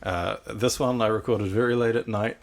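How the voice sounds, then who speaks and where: A young man casually chatting on a podcast about songs he wrote, relaxed and quick to laugh at himself. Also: on the low side, a little hiss or hum. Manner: laid-back, laughing at his own jokes. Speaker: podcast co-host and songwriter. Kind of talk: casual chat about his own songs.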